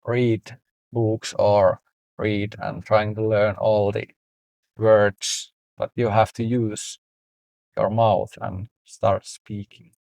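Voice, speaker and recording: Slow-talking Finnish man, low voice. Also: flat delivery, creaky, a little mellow, dry recording. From Finland. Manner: unhurried, many "like" fillers. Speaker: podcast co-host, startup founder. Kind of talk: casual conversation.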